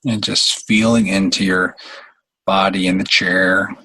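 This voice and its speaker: Slow, husky man guiding a meditation. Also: low-pitched, level tone, bright, forceful tone, low-quality recording. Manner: halting, unhurried, long pauses. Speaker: meditation guide. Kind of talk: guided meditation.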